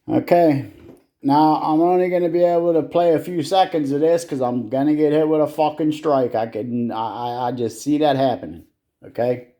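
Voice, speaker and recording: A man giving casual hands-on repair commentary, muttering to himself in short, halting phrases with some casual swearing. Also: on the high side, fairly even intonation, warm tone. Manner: casually profane. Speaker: hobbyist repairer filming own repair. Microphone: handheld camera.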